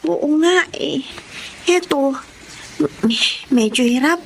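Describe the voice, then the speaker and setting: An actress playing a meek, weary teenage wife in a radio drama, plaintive and submissive. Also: female voice, high-pitched, slow pace, slight background noise. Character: meek, weary nineteen-year-old young wife.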